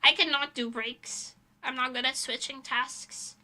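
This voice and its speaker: A woman with a high, strikingly bright and sharp voice talks casually on a livestream. She rambles through her thoughts bluntly, swearing freely as she answers chat. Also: steady tone, a little slow. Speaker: livestreamer, illustrator.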